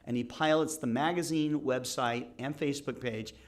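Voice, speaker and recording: Host, man, 60s, rambling casual talker, mid pitch. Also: steady tone, normal speed. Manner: rambling, laughs easily, many fillers. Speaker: show host. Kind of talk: casual chat.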